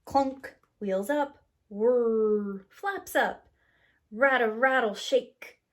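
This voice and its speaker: A woman's voice: fairly high pitch, extremely slow. A woman reading a children's story aloud expressively, with a rhythmic delivery and acted-out sound words. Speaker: preschool teacher reading a picture book aloud.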